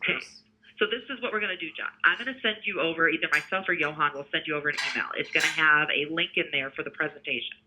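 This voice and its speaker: A man giving a conversational sales pitch over the telephone as a sales representative, explaining things step by step. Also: very fast, background noise. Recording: tinny narrowband phone line.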